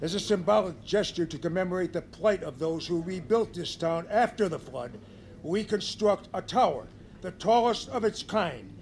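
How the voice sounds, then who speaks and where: An actor playing an earnest, grandiose townsfolk creature given to lofty, solemn speeches in an audio comedy. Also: male voice, sits high, expressive, moderate pace, a little mellow, a little hiss or hum. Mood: grandly earnest. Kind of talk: cartoonish audio comedy acting.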